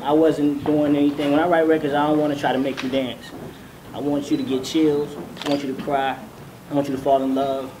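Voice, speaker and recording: High, youthful-voiced man, flat delivery. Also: fairly quick. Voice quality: soft, youthful baby voice. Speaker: panelist, songwriter and singer. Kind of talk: casual panel talk. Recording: clear background noise.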